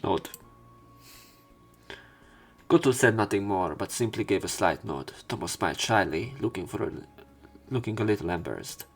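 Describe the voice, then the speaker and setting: A man reading visual novel narration aloud as a let's play commentator, with halting casual asides where he corrects himself. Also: lowish voice, lively intonation, slightly rough, soft background music.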